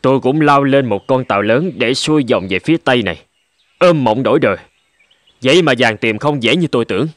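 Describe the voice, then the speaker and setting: A man's voice: mid-range voice, expressive, fairly quick, no echo, natural voice. A man playing a weary, grumbling gold prospector in cartoon dialogue. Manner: complaining.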